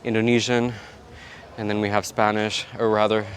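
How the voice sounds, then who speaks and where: A man's voice: lowish voice, flat delivery, normal speed, slight background noise. A man chatting casually and rambling a bit as he vlogs his day. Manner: chatty, rambling, self-talking. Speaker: vlogger. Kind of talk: casual vlog chat.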